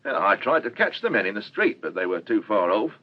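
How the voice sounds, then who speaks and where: An actor playing a courteous, talkative and somewhat bewildered cafe owner in a radio drama. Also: male voice, lowish voice, sing-song, brisk. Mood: chatty, explaining. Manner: polite, chatty, explanatory. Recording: dull radio recording with faint hiss.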